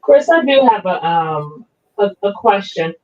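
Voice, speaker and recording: A woman of middle pitch leads the conversation, asking questions with small hesitations and repeated words. She answers others with short, affirming replies. Her audio sounds dull and muffled, like a lo-fi recording. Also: big pitch swings, unhurried, a little mellow. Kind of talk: casual conversational discussion.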